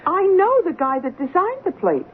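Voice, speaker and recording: A woman's voice: very high voice, wildly swooping pitch, moderate pace, a little hiss or hum, full character voice. A woman playing a cool, street-smart racketeer in a radio drama, with clipped, knowing underworld slang. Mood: knowing, coy. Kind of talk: old-time radio drama acting. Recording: thin, tinny old radio broadcast.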